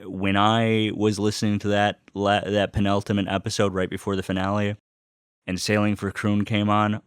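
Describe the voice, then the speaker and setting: A man's voice: lowish voice, monotone, normal speed, smooth, no room sound, clean studio sound. A man giving a casual, enthusiastic monologue as host, speaking in an earnest, gushing way and swearing casually.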